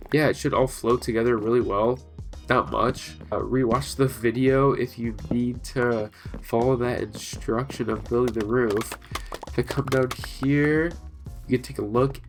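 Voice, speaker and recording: A man with a stuffy, congested nose from allergies, casually walking through a building tutorial over gameplay, with relaxed, chatty instructions. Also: medium pitch. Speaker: gaming video creator.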